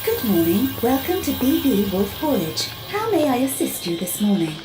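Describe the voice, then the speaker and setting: Formal office receptionist, very up-and-down polite delivery, music behind. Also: female voice, a little high, sing-song, moderate pace, rough recording. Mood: formal, helpful. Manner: formal, courteous. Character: polite, businesslike female company receptionist. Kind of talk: audio drama acting.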